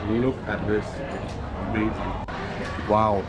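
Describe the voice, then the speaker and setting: A man's voice: lowish voice, steady tone, slow pace, dull audio, very noisy. A man from Kenya and Switzerland, a travel vlogger, chatting casually on camera while eating outdoors and at a restaurant, listing flavors as he goes. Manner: enthusiastic, eats while talking, lists flavors. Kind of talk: casual on-camera food tasting.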